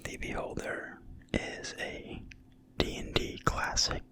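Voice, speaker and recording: A narrator reading from a book entirely in a quiet, breathy whisper, slowly and deliberately, in ASMR style. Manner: slow, deliberate, hushed reading with pauses. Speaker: narrator reading from a fantasy game manual.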